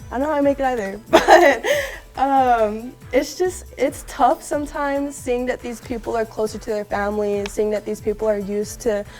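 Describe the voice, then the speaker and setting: A woman's voice: on the high side, steady tone, normal speed, darkish tone, faint music behind. A young woman from West Texas, a university student, answering interview questions earnestly and reflectively. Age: young adult. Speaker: alumna interviewee.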